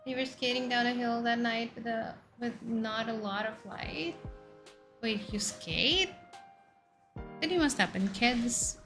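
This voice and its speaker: A woman from India with an Indian accent, a VTuber in her thirties chatting casually with her viewers and firing off questions and loud exclamations. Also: a little high. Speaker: VTuber streamer. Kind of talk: casual chat reading viewer messages. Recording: faint background music under voice.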